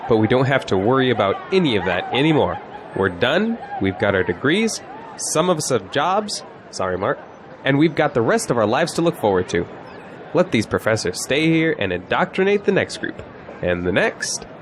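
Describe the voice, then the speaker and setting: Animated, wry young male graduate played by an actor. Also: medium pitch, normal speed, close to an everyday voice. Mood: upbeat, sardonic. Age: young adult. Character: confident, sarcastic young male college graduate. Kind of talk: scripted audio drama dialogue. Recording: faint background noise, outdoor crowd ambience.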